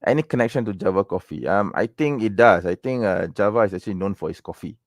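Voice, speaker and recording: Malaysian male livestream host, very low voice, dry close sound, loose rambling delivery with constant tag questions. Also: lively intonation, quick pace, dry recording. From Malaysia, raised in Penang. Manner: rambling, many fillers and tag questions like "okay?" and "right?". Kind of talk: casual livestream chat answering viewer questions.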